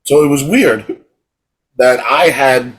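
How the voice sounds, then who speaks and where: Man, middle-aged, husky voice, rambling, casual podcast chat. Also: mid-range voice, slow. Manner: rambling storyteller, repeats words when starting. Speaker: podcast co-host.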